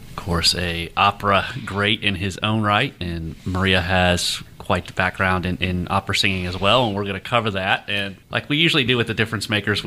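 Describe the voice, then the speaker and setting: A man hosting a podcast, reading a measured intro and then interviewing a guest. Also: deep voice, sharp tone, a little hiss or hum. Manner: measured, read-aloud delivery. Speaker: podcast host, newspaper editor.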